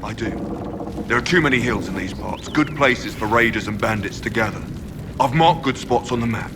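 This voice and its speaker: A man plays a dutiful, practical camp hand in a fantasy game. The voice sits fairly low and sounds plain and businesslike, with clear ambient noise behind it. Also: normal speed, natural voice.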